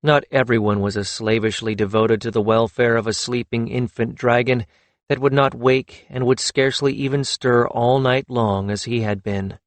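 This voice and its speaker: A man narrating a fantasy audiobook in a measured, even storytelling manner, close to the microphone. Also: medium pitch, dry recording.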